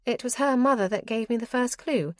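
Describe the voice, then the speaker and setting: A woman's voice: on the high side, fairly quick, no echo, voice pushed up, close to an everyday voice. An earnest young noblewoman pleads her case in a lifted, eager voice, as a woman reads her. She sounds insistent and proper. The sound is dry and close.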